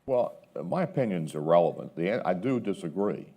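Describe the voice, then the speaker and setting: Very deep, mellow-voiced man on a panel. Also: big pitch swings, normal speed, husky. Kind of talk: panel discussion, arguing a point. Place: live panel event before an audience.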